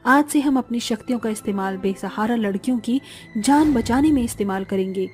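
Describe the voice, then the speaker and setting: A woman voicing a spirited young sister with magic powers in a cartoon story, excited and bold. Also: a little high, fairly quick, soft background music, close to an everyday voice.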